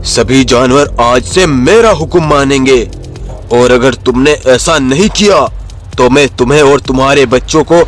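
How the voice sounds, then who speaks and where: A man's voice: mid-range voice, measured pace, soft background music, natural voice. A man voicing a bullying tiger-man who rules the jungle in an animal story, boastful and threatening. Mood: menacing. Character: menacing, bullying male tiger-man, self-declared jungle king. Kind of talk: Hindi animal storybook voice acting.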